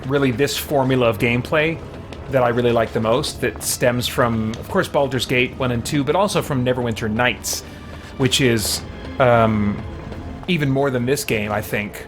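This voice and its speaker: A man's voice: medium pitch, moderate pace, music in the background. A man chatting casually and a little self-effacingly while livestreaming a game. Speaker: game streamer.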